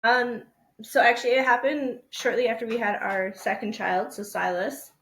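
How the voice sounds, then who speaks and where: A woman's voice: on the high side, moderate pace, a bit bright, reverberant, rough recording. A woman in her thirties, a guest telling her story plainly, with many fillers, in a casual interview. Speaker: guest, company co-founder.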